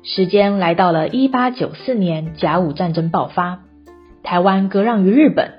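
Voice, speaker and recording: A woman's voice: lowish voice, moderate pace, muffled recording. A woman with a Taiwanese Mandarin accent narrating a scripted story for radio. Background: music.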